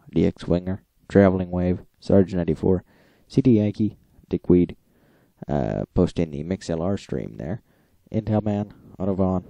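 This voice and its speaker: A man with a deep, very muffled-dark voice hosts an informal logging recap. He reads out lists plainly, with an occasional aside, and the sound is close and dry. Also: creaky, dry recording. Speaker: host of a radio logging recap. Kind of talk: informal recap reading out logs.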